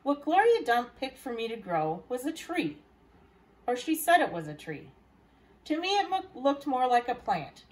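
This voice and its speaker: A woman's voice: sits high, very animated, moderate pace, rough recording. A woman reading aloud as a library storyteller, narrating a children's novel. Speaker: library staff reader.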